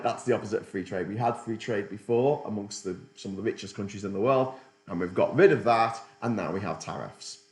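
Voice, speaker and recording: A man's voice: a little high, roomy sound. A middle-aged man hosting a political livestream, rambling sardonically and reading out chat comments. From Britain.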